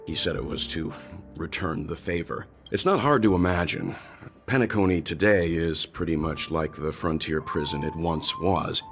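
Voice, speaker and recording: A man voicing a grave, composed strategist in a video game, speaking in a measured, serious and analytical manner. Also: fairly bright tone, full character voice. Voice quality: very deep. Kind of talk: scripted game dialogue. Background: faint background music.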